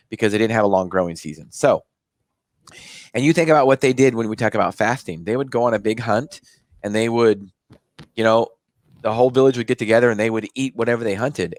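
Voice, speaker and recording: A man's voice: medium pitch, dry recording. An American man hosting a health show, explaining things in a conversational, rambling way with repeated phrases. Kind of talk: conversational interview talk.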